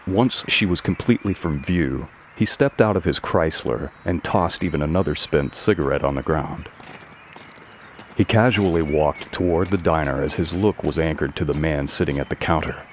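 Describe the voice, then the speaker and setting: Low-voiced male story narrator. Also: moderate pace, a little hiss or hum. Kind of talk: scripted narration of a mystery story. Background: faint music.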